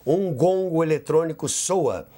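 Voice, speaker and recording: Animated Brazilian man telling stories, higher-pitched. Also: a little slow. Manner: animated storyteller, rhetorical questions and asides. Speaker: podcast host. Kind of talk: conversational storytelling about radio history.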